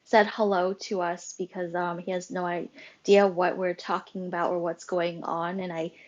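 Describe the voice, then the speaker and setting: A woman's voice: on the low side, level tone, moderate pace, dry recording, highs cut off. A woman hosting a casual social audio room, talking in a loose, rambling way with many fillers and restarts. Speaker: host and club moderator.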